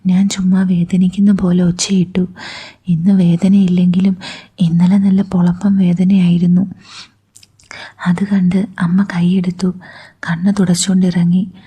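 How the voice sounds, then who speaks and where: A woman's voice: fairly low pitch, little pitch movement, a little slow, long pauses, warm tone. A woman narrating a first-person story entirely in a whisper. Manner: breathy whisper throughout.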